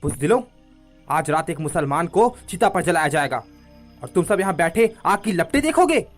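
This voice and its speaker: A woman plays a fierce village gang leader in an audio drama, pitched low with big swings of intonation. The manner is goading and zealous. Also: sing-song, normal speed, faint music behind. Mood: fiery. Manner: goading, impassioned. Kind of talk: audio play acting.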